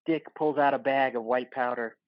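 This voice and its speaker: A man's voice: medium pitch, steady tone, normal speed, no echo, muffled recording. A man narrating an audio play, reading stage directions in terse screenplay phrases.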